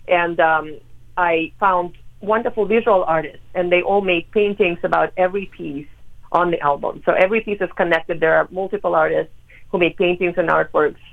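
A woman's voice: lowish voice, moderate pace, vocal fry. Woman on a tinny phone line. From Ukraine. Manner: earnest, many ums and uhs. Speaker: pianist guest. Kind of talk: phone interview. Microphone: telephone.

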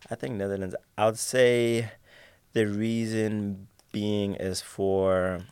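Deep-voiced young man, dry close sound. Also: slow, clean tone, no room sound. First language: English. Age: young adult. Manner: relaxed, with many fillers and restarts. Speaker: guest. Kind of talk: casual interview answers. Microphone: studio mic at a table.

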